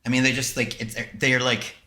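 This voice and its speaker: Bright-voiced American man in an echoey room. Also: medium pitch, flat delivery, fairly quick. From southern United States. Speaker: podcast co-host. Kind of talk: casual comic podcast chat. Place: indoors on a video call. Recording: roomy, echoey sound.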